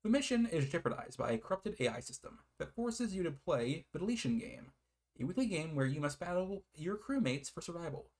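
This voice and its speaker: A man's voice: fairly high pitch, a little mellow. Casual, rambling American man reviewing games. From United States. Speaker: video game reviewer. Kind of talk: casual rambling review, partly reading game blurbs.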